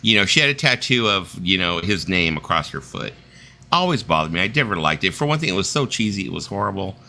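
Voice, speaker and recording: A man's voice: low voice, sing-song, fast, vocal fry, sharp tone, slight background noise. A man in his fifties hosting a casual stream, telling loose, rambling stories with some swearing. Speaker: stream host. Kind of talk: casual chat.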